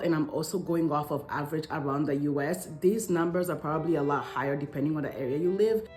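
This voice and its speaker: Lady in her 30s from congo doing a chatty emphatic vlog, lowish voice, bit of room echo. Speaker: vlogger, stay-at-home mother. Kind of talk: scripted talk to camera in a lifestyle vlog.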